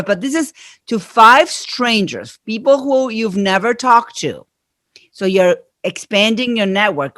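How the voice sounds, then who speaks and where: A woman's voice: medium pitch, fairly bright tone, no echo. A middle-aged woman with an Argentine accent, a guest on a podcast. She explains her ideas earnestly and at length. Her voice sounds dry and close. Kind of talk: interview answers.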